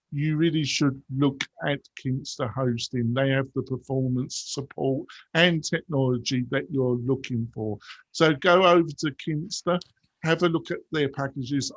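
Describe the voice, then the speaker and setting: A man's voice: medium pitch, no room sound, rough recording. A man hosting a tech podcast, introducing guests and reading sponsor messages in an upbeat, announcer-like way. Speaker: podcast host.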